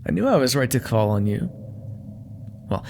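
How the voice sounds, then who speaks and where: Male actor as silky demon crime lord, widely swinging pitch, gravelly creak, vain, condescending, ASMR roleplay. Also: sing-song, moderate pace, a little mellow, slight background noise. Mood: calm, calculating. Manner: theatrical, condescending, coolly threatening with mock affection. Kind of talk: ASMR audio roleplay monologue.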